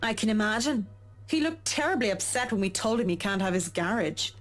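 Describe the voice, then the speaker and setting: A woman's voice: medium pitch, expressive, fairly quick, faint music behind, natural voice. A woman voicing a tactful young architect. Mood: explaining. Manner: explanatory, matter-of-fact.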